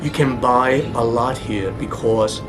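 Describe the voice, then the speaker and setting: A man from the United States giving a measured, informative travel narration as a voice-over. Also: medium pitch. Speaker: travel vlogger. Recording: narration over clear background music.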